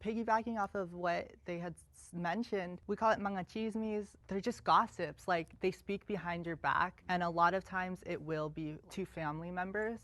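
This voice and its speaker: A woman's voice: on the low side, moderate pace, hardly any pauses, fairly bright tone. A woman from the Philippines speaking up proudly as a game show contestant.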